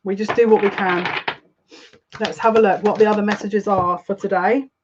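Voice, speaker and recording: A woman's voice: mid-range voice, steady tone, normal speed, a little hiss or hum. A woman speaks earnestly and confidingly, circling back to repeat her own phrases as she gives a live spiritual reading. Her voice sits in a middle register. The livestream audio is a little dull and lo-fi. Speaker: psychic livestream host.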